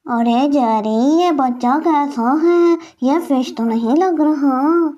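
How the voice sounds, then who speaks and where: A woman's voice: high voice, slow, mellow, lo-fi sound. A woman voicing a suspicious, nosy male cartoon fish with a wary, accusing manner.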